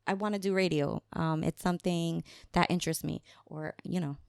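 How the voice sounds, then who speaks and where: A woman's voice: fairly low pitch, fairly even intonation, moderate pace, gravelly, clear, resonant tone, no room sound, studio quality. A woman, chatting casually as a podcast guest, often laughing and slipping in Spanish phrases. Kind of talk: casual conversation.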